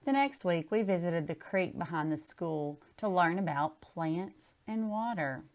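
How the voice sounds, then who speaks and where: Slightly low-voiced woman reading aloud. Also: expressive, a little slow, darkish tone. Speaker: storybook reader. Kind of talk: casual chat and picture book read-aloud.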